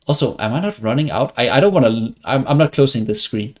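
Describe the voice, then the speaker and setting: A man's voice: mid-range voice, lively intonation, quick pace, a little mellow. A man from Denmark with a Danish accent, chatting casually and wryly as he comments on his livestreamed gameplay. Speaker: game streamer.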